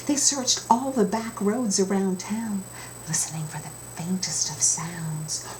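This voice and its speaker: A woman reading a children's storybook aloud with expressive, dramatic emphasis, as an author telling her own tale. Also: medium pitch, a little slow, noisy background.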